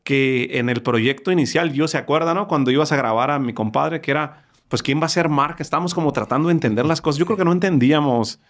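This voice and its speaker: A man in his thirties with a Mexican accent, hosting a podcast interview in a studio, talking with lively energy and frequent restarts. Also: a little high, fast talker, few pauses. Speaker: podcast host. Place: studio with wood-paneled wall. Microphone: studio mic on boom arm.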